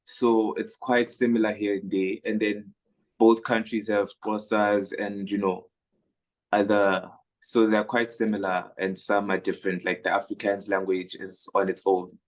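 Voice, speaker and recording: A man's voice: fairly low pitch, little pitch movement, measured pace. A young man from Zimbabwe and South Africa, a native Shona speaker, answering questions in a class discussion with lots of fillers and restarts. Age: young adult. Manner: hesitant, many fillers and restarts. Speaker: guest speaker, international student. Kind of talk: casual conversational answers.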